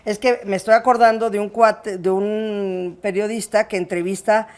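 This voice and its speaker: A Mexican woman hosting an interview, lively and curious, with quick reactions. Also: mid-range voice. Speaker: interview host. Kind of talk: casual interview chat.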